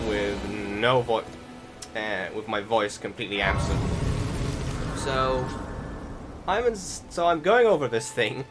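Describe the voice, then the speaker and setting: A man giving casual gameplay commentary, rambling and halting, often correcting himself as he goes. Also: fairly low pitch. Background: game music.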